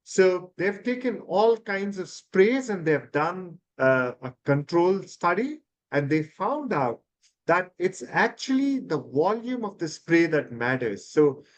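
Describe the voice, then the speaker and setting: A man's voice: sits high, sing-song, slow. A man from India, an ENT surgeon, explaining nasal treatment in an interview with many fillers and restarts. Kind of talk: expert interview answers.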